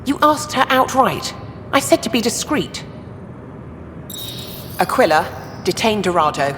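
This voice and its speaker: A woman's voice: medium pitch, very animated, a little slow, fairly bright tone, in a large hall, faint music behind. An actress playing a stern, clipped, authoritative female operative in a video game. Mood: displeased, commanding.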